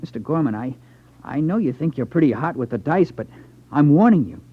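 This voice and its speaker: A man's voice: on the high side, animated, a little fast, slightly rough. A nervous, naive young man. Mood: nervous, earnest. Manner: anxious, hesitant, earnest. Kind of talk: 1950s radio drama acting. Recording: dull 1950s radio broadcast recording.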